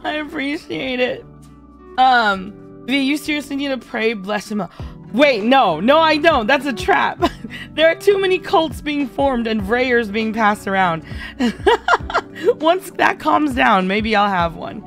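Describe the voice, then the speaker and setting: Hoarse, high-voiced woman streamer. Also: expressive, measured pace. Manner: bubbly, giggly, thanking viewers. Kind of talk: singing a ballad then casual chat with viewers. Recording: faint piano backing music. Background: soft piano backing during the song.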